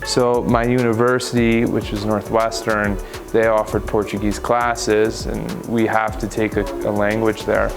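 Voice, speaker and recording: A man's voice: fairly low pitch, flat delivery, a little slow, gravelly. A man in his thirties in a school hallway, casually recounting his experiences in an interview on a lapel mic. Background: background music.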